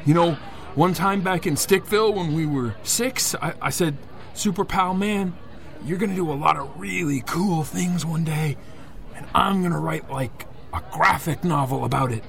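A man's voice: a little high, gravelly, fairly bright tone, a faint crowd. A man playing a superhero's loyal best pal, rambling earnestly and casually in a comedic audio drama. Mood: proud, earnest. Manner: halting, casual, earnest dimwitted musing. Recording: faint outdoor street noise.

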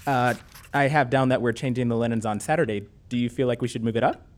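A man playing a calm, practical husband. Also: medium pitch, fast, close to an everyday voice. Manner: matter-of-fact. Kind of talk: audio drama acting.

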